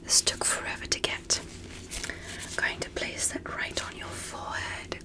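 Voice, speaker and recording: A woman's voice: natural voice, rough recording. Woman playing a whispering, curious alien doctor. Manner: gentle, careful, deliberate, clinical. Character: curious, polite alien doctor examining a human. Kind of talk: whispered ASMR medical roleplay.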